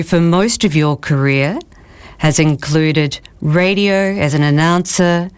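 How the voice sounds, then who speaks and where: A woman with a low voice hosts a podcast interview. She speaks in a measured way and leans on key words as she introduces her guest and asks her questions. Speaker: podcast host.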